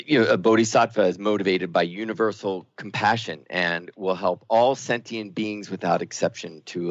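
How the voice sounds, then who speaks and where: A man's voice: on the low side, no echo. A man hosting a spiritual talk radio show, speaking in an earnest, musing way and affirming his co-host with "right" and "indeed.". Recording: dull lo-fi studio sound, dry.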